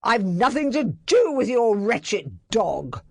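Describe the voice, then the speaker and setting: A woman's voice: a little high, very animated, takes it slowly, breathy edge, no echo, studio quality, pitched above the performer's own voice, exaggerated voice. A woman voicing a haughty, sneering man who demands people leave his garden.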